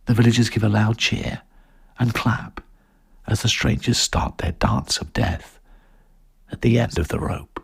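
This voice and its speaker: Casting: male audiobook narrator, husky and creaky, suspenseful, dramatic delivery of scripted fiction. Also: on the low side, measured pace, long pauses. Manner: suspenseful, expressive. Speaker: story narrator.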